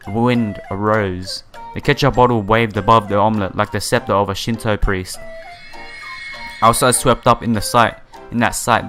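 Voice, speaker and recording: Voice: medium pitch, a bit bright. A gamer narrating a visual novel playthrough, reading text aloud and stumbling here and there, with casual slangy asides. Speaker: let's player. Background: background music.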